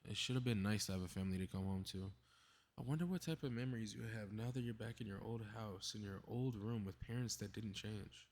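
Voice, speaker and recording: A man plays a cocky young superhero with a fairly low voice. He mutters sarcastic, mischievous asides to himself, casually profane, in the manner of an ASMR roleplay audio drama. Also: a little fast, natural voice. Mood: wistful, sympathetic. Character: cocky, snarky young male superhero playing invisible mischief-maker.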